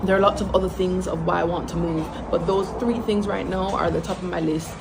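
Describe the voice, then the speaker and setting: A woman's voice: fairly low pitch, fairly even intonation, fairly quick, creaky. A woman, talking to camera in a confident way and going through her points in order. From Jamaica. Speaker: mother vlogger. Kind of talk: casual talk to camera. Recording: loud background noise.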